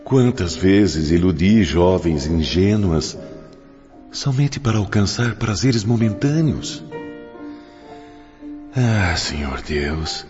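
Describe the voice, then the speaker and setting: A man's voice: low voice, animated, takes it slowly, pauses often, darkish tone. An actor playing a remorseful, anguished male spirit pleading over his sins in a radio drama. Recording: dull reverberant studio audio with music. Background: music.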